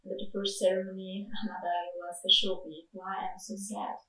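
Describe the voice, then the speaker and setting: A woman's voice: mid-range voice, little pitch movement, moderate pace, a bit bright. A woman, speaking hesitantly with many fillers as she searches for words in a candid interview. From Austria. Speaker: retreat participant. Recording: roomy, distant mic.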